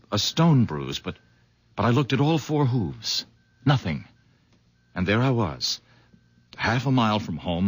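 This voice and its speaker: An actor playing an eager horse owner who stammers and then gushes, in a 1970s radio drama. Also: male voice, on the low side, husky. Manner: hesitant, stammering, then excitedly effusive. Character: eager, fussy middle-aged horse owner. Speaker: visitor.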